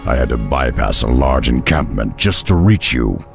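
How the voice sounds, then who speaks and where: An actor playing a gruff, hulking male half-ogre beast hunter, slow and blunt, with a gravelly voice, in a game cutscene. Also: very deep voice, very animated, music in the background, heavily acted. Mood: stern. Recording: game cutscene with loud score.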